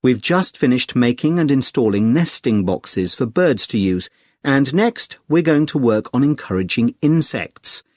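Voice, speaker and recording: A British man as a friendly conservation group secretary, recorded close and dry. His pitch is middling and he explains things politely and clearly in a measured, scripted everyday conversation. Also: expressive, darkish tone, dry recording. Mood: helpful, informative. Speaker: actor in a listening test dialogue.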